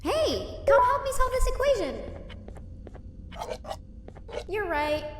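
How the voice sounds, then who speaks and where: A woman's voice: very high voice, sing-song, slow, a little hiss or hum, heavily acted. A woman playing a talkative, eager toy mascot teacher in a video game, with an instructive manner. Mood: eager, bossy. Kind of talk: video game character acting. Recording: roomy distant hall reverb, music behind.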